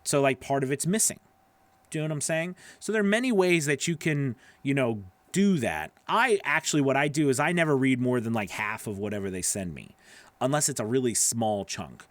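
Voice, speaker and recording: A man's voice: mid-range voice, animated, a little fast, dry recording. A middle-aged man giving casual, conversational advice as a host, emphatic and often checking in with the listener, close on a studio microphone. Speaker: host, voice-over coach. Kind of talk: casual advice to live viewers' questions. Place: small home studio, indoors. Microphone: broadcast microphone on a boom arm, close.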